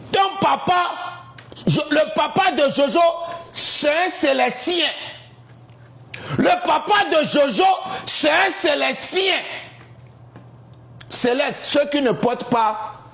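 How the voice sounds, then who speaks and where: Brief: man, high, lively sing-song shouting rant, slow delivery, echoey room. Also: vocal fry. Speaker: TikTok commentator in a played clip. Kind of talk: excited preaching-style rant. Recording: roomy echo.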